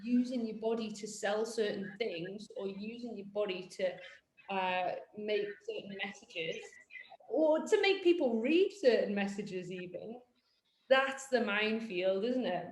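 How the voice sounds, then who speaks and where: A woman's voice: mid-range voice, expressive, unhurried, a little hiss or hum. A British woman hosting a casual fitness podcast, chatty and joking in a self-mocking way. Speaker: podcast host, personal trainer. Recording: roomy echo.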